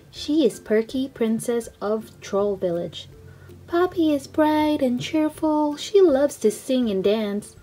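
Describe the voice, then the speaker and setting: Slow, lively woman reading a children's storybook. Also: fairly high pitch, husky, low-quality recording. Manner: expressive, simple storytelling for young children. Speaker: read-aloud channel host. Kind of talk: children's storybook read-aloud.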